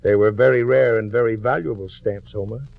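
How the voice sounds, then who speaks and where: A man playing a quietly probing office boss in a 1940s radio drama, measured and halting. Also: lowish voice, steady tone, creaky, no room sound. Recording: very thin, phone-like old radio recording.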